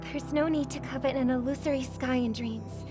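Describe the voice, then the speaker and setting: An actress playing a kind, graceful young singer in a video game, speaking politely and with composed sincerity. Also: female voice, high voice, fairly even intonation, moderate pace, close to an everyday voice. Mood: hopeful, resolute. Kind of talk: video game cutscene acting. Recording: loud music under the voice.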